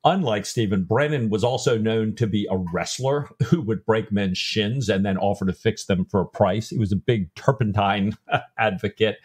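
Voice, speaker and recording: A man's voice: fairly low pitch, moderate pace, husky. A man co-hosting a podcast, telling a historical anecdote with enthusiasm. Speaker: podcast co-host. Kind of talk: casual podcast discussion.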